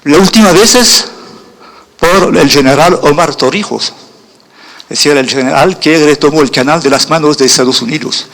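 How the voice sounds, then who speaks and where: A middle-aged man gives a lecture in a language that is not his own. His voice sits a little high, and he pauses to hunt for words, often checking in with the room before he goes on. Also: fairly bright tone, low-quality recording. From Europe. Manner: halting, searches for words, checks in with "¿sí?". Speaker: guest lecturer. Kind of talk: keynote lecture.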